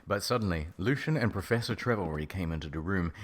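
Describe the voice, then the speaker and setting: A man narrating a comic dramatic reading of fan fiction in a deadpan manner. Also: low-pitched, fast talker. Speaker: story narrator.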